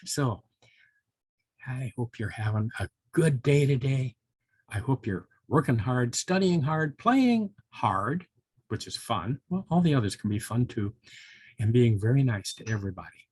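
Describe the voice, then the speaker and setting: Older man with a husky storyteller's voice. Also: medium pitch, big pitch swings, measured pace, a little mellow, no echo. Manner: folksy, musing, with interjections like hmm and well. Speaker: volunteer storybook reader for children. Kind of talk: storytelling and chatty talk to young children.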